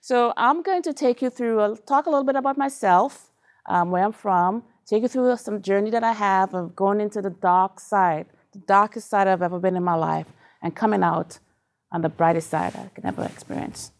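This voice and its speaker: A woman's voice: medium pitch, normal speed. Chatty Trinidadian woman telling her story. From Trinidad and Tobago. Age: middle-aged. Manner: chatty, candid, laughs along with the audience. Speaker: speaker. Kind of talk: personal story talk to a live audience.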